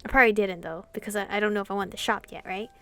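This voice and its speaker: A woman hosting a gaming video, giving casual let's-play commentary with playful, animated reactions and mild swearing. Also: medium pitch, very fast, faint music behind. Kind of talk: casual let's-play commentary, reading game dialogue aloud.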